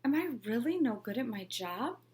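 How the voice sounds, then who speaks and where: A woman's voice: on the high side, big pitch swings, moderate pace, darkish tone, voice pushed up. A woman voicing a flustered school principal. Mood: anxious. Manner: flustered, stammering. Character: nervous fussy male school principal.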